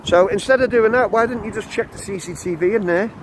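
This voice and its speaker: A combative Scouse man with a fairly high voice, confronting police officers out in the open. He keeps repeating himself and throws accusations back at them. Wind noise rumbles loudly across the outdoor mic. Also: fast talker. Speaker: auditor filming police. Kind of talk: heated street confrontation.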